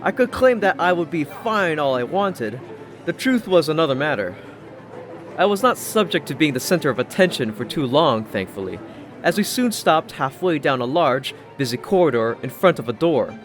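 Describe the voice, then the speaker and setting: Male audiobook narrator, slightly high register, expressive first-person storytelling over faint music. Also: normal speed. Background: background music.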